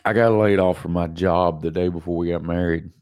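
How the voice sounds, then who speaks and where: A man's voice: low voice, fairly even intonation, fairly quick, darkish tone, clear, resonant tone, no room sound, studio quality. A man hosting his own podcast, stuffed up with allergies, talking casually and rambling, often repeating himself. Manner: rambling, repeats himself, self-deprecating laughs. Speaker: podcast host. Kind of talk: casual solo podcast monologue.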